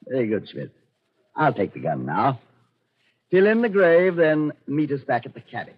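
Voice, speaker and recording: A suave, menacing Nazi colonel, wide-swinging voice. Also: male voice, medium pitch, huge pitch swings, normal speed, a little hiss or hum. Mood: sardonic. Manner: commanding, suavely polite, sardonic. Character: coolly menacing Nazi colonel escaping through the desert. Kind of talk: 1940s radio acting. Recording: dull, husky-sounding old radio recording.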